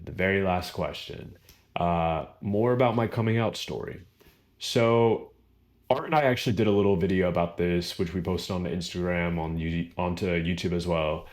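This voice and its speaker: A young man with a baritone voice answering viewer questions in a relaxed, rambling vlog chat full of fillers. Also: moderate pace. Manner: relaxed, rambling, lots of fillers and small laughs. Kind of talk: casual Q&A chat.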